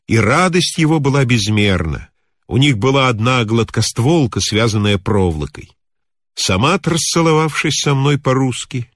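A man narrating a literary tale as an audiobook reader, in an expressive storytelling style. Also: medium pitch, dry recording, clean studio sound.

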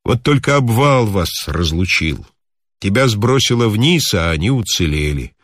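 A man voicing a kindly, talkative old mountain healer in a story, with a calm, instructive, measured manner. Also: on the low side, no room sound, clean studio sound. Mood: calm, reassuring.

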